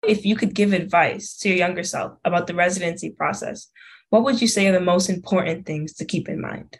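A woman's voice: fairly low pitch, fairly quick, breathy edge. A young woman, a medical student, hosting a podcast interview in a polite, friendly way.

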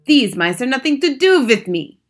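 A woman's voice: high-pitched, expressive, unhurried, pitched above the performer's own voice, full character voice. A woman voicing an imperious, gloating, menacing witch leader in a children's audiobook, snapping out commands in oddly turned grammar. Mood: snapping, commanding. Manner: commanding, snapping, shouting, odd foreign-sounding grammar. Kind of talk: audiobook children's story villain.

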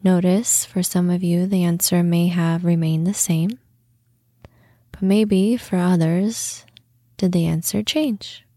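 A woman hosting a guided meditation, speaking in a soothing, unhurried manner with long pauses and softly breathed phrase endings. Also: fairly low pitch, fairly even intonation, dry recording, studio quality. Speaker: podcast host and meditation guide.

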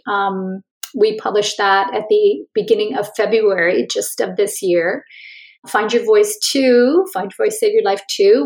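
A woman's voice: medium pitch, low-quality recording. A woman author and coach, a guest in an interview, speaking in a reflective, conversational way with frequent restarts.

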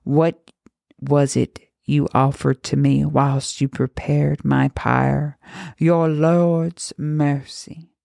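A woman's voice: sits low, flat delivery, extremely slow, gravelly, mellow, dry recording, studio quality, exaggerated voice. A woman voicing a vengeful, anguished young girl turned demonic monster in a horror story, scornful and theatrical.

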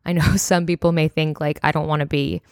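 A woman in her twenties, a little hoarse, hosting a casual solo podcast and chatting with lots of fillers. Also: low-pitched, little pitch movement, brisk, no room sound, clean studio sound. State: slightly hoarse, voice near breaking. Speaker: podcast host.

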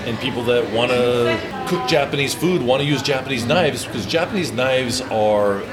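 A man's voice: mid-range voice, normal speed, music in the background. A man speaking as a company executive, explaining his business in a conversational interview.

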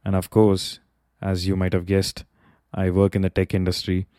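Man, non-native English speaker, mellow smooth voice, dry close sound, slow and halting with fillers. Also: low voice, completely flat delivery, dry recording. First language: not English. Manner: hesitant, many fillers and pauses. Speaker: podcast host. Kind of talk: solo reflective podcast monologue. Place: Bay Area, California.

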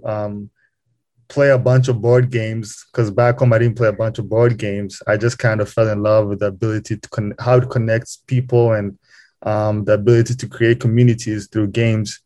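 A young man from Rwanda talks easily as a podcast guest, filling his sentences with "um" and "you know". His voice sits a little low, and the recording is dry and close. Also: moderate pace, dry recording. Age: young adult. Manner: relaxed, lots of fillers like "um" and "you know". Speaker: podcast guest, student and game designer. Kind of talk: casual interview talk.

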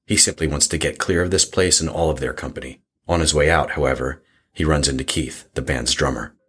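A man's voice: very deep voice, a little fast, fairly bright tone, breathy edge. A man narrating a scripted horror story in an audio drama.

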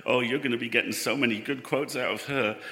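A man's voice: mid-range voice, fast. An actor playing an earnest, sentimental man in a live audio drama, speaking with open emotion. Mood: warmly animated. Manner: emotional, expressive. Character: earnest, sentimental man, attached to his ship friend. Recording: echoey live hall, reverberant.

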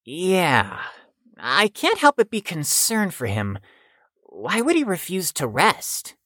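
Cautious bookish student, audio drama, played by a woman: low register, lively inflection, stiffly formal and dry. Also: slow pace, no room sound, full character voice. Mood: concerned.

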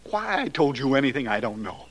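An actor playing a desperate young man in debt in a 1940s radio drama, agitated and halting. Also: mid-range voice, very animated, fairly bright tone. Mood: edgy, scared. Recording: noisy old radio recording.

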